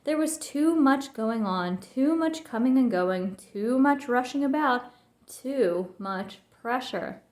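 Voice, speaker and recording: A woman reads a children's storybook aloud as narrator. Her voice sits on the high side. The recording is roomy, with a clear echo around the words. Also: expressive, takes it slowly, a little mellow. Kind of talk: children's book read-aloud.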